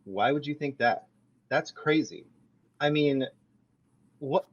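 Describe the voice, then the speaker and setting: A man's voice: mid-range voice, animated, takes it slowly, lo-fi sound. A man reading the part of a defensive, self-impressed young male roommate at a sitcom table read. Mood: indignant.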